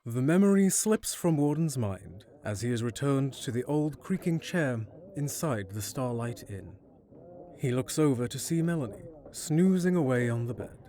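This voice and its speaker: A man delivers scripted narration for an audio drama. His voice sits at an average pitch, and he tells the story in a measured, descriptive manner. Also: animated, mellow, slight background noise.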